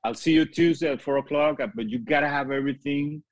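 A middle-aged man, a musician whose first language is not English, telling stories in a casual interview, lively and quick to act out what people said. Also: fairly high pitch, no room sound. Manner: animated storyteller, acts out quoted voices, laughs often. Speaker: guest musician, keyboard player.

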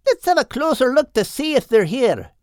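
A man's voice: extremely high pitch, very animated, normal speed, darkish tone, smooth, no room sound, clean studio sound, pitched above the performer's own voice. A man voicing an affectionate, reassuring male seagull husband with folksy speech in a storybook tale.

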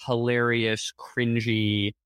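A man co-hosting a podcast in casual chat, excitable and full of fillers, his voice jumping up when he gets outraged. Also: mid-range voice, slow pace, clear, resonant tone, no echo, studio quality. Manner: many fillers and restarts. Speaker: podcast co-host. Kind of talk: casual chat about a TV episode.

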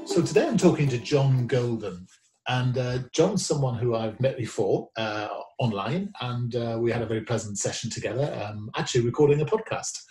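A man hosts a podcast in a middle-pitched voice, steady and measured, with pauses and "um"s between his phrases. His voice rings in an echoey room. Faint music plays behind him. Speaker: podcast host. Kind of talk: podcast intro and interviewing.

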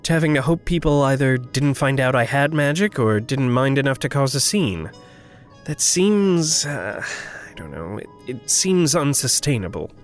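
A woman playing an earnest, anxious young character with a halting manner in an audio drama. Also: rumbling low, animated, soft background music. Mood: earnest, uneasy. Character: earnest, hesitant, anxious young person, grateful to friends. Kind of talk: naturalistic audio drama acting.

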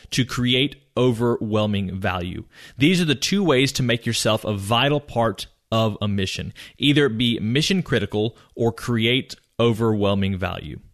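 A man hosting a leadership podcast, thanking his guest and giving an upbeat, clear summary of key takeaways. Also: medium pitch, fairly bright tone. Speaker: podcast host. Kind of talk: podcast interview and recap.

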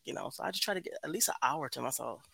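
A woman with a deep voice, chatting casually as a podcast guest, laid-back and rambling, swearing easily. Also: expressive, racing, vocal fry, a bit bright, a little hiss or hum.

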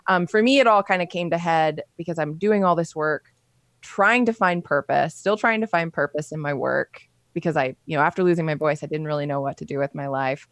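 A woman's voice: low voice, fairly quick, dry recording. A woman guest on a video call, frankly telling a personal story with frequent fillers. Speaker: podcast guest.

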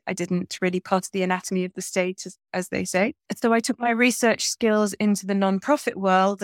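A middle-aged woman from England talks chattily and thoughtfully, restarting her sentences and adding fillers as she goes. Her voice sits in a middle pitch and sounds close and dry, with no room echo. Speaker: guest. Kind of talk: conversational podcast interview.

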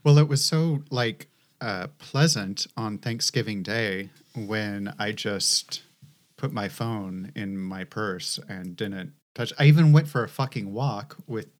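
A woman's voice: rumbling low, slow pace. A middle-aged woman co-hosting a podcast, telling a rambling, casually profane story with many fillers. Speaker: podcast co-host.

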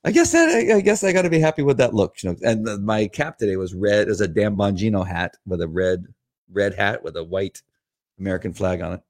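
A man's voice: lowish voice, animated, a little fast. A middle-aged man co-hosting a podcast, chatting casually with his wife in a playful, rambling way and laughing often. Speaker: podcast co-host, former police officer. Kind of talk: casual chat with spouse.

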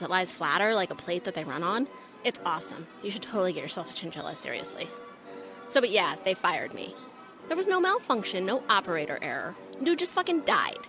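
A woman's voice: medium pitch, fairly bright tone. A woman playing a brash, foul-mouthed young fired ride operator, rambling sarcastically in slang as if interviewed for an audio drama. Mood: indignant. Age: young adult.

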